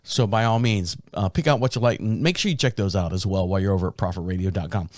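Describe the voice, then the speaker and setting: A man hosting his own podcast in casual talk, a bit raspy from a sore throat, rambling and restarting his sentences. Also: quick pace, no room sound, clean studio sound. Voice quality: deep. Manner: rambling, self-interrupting storyteller. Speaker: podcast host. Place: small podcast studio. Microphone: studio microphone.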